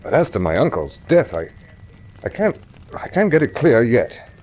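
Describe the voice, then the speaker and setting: An actor playing a stubborn, hot-tempered young heir in a radio drama, speaking in a blunt, forthright manner. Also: male voice, medium pitch, very animated, moderate pace, a little mellow, husky. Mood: puzzled, frank. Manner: blunt, forthright, hesitating then firm. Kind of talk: classic radio drama acting.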